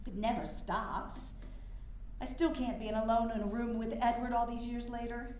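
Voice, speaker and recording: A woman's voice: a little high, steady tone, moderate pace, a little mellow, close to an everyday voice. A woman acting a resolute, confiding mother and suffrage campaigner in a staged period drama, speaking earnestly in formal phrasing. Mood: resigned, sorrowful. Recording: very echoey room with faint noise.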